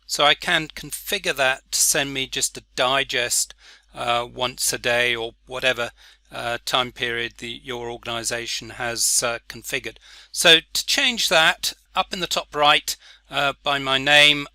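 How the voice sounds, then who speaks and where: A man casually walking through a software interface, with frequent "uh" and "um" fillers. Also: mid-range voice, no echo. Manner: relaxed, many "uh" and "um" fillers. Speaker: software demonstrator. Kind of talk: screen-recorded software walkthrough.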